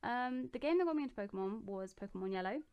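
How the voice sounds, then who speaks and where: A young woman in her bedroom, answering viewer questions in a relaxed, chatty way, with plenty of ums and restarts. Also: on the high side, fast, smooth, dull audio. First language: English. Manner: chatty and relaxed, with many ums and self-corrections. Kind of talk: casual chat.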